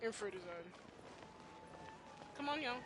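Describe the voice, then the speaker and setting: A woman's voice: on the high side, expressive, a little slow, low-quality recording. A woman giving casual, rambling, fannish commentary as she streams a game playthrough, with plenty of slang. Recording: game music behind.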